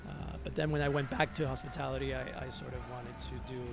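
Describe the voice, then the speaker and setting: A man from Venezuela, a native Spanish speaker, telling his story as an interview guest in a reflective, halting, conversational way, repeating words as he searches. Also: medium pitch, fairly even intonation. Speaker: interview guest, musician and hospitality entrepreneur. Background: music.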